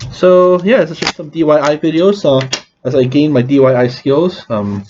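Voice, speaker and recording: A man's voice: on the high side, darkish tone, lo-fi sound. A man with a scratchy voice, rambling through a casual vlog with many restarts and fillers. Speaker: vlogger.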